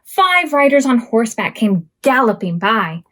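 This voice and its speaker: A woman hosting a preschool storytime as a children's librarian, reading picture books aloud expressively with drawn-out words. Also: fairly high pitch, slight background noise. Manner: expressive, drawn-out words and acted sound effects.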